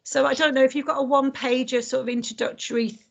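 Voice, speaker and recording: Woman, fairly high voice, hesitant, many ums and restarts, video call meeting leader. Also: little pitch movement, fast, vocal fry. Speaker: meeting facilitator. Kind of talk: online community meeting.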